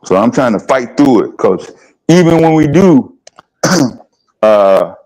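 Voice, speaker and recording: A man in his fifties from the American South, hosting a livestream discussion in a plainspoken, halting way. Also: mid-range voice, big pitch swings, a little mellow, rough recording. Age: 50s. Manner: plainspoken, halting, asks rhetorical questions. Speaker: livestream host. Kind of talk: casual discussion.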